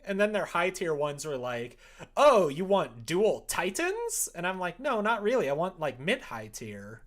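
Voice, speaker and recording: A streamer in loose, informal chat mode. The voice sits at a middle pitch and moves around a lot, with an animated delivery that slips into quoted asides as if talking with friends. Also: unhurried. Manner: informal, animated, quoting imagined exchanges. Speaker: streamer chatting while making a visual novel. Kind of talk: casual livestream chat.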